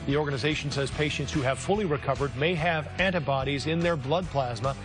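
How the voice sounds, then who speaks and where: A man's voice: a little high, fairly quick, over music. A man with an American accent reading scripted broadcast news as an anchor.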